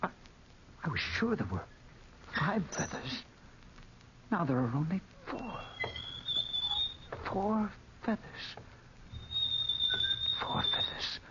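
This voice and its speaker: An actor playing a terrified, trapped young man in 1940s radio drama style, halting, breathless and melodramatic. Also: fairly high pitch, full character voice. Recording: noisy, muffled 1940s radio recording.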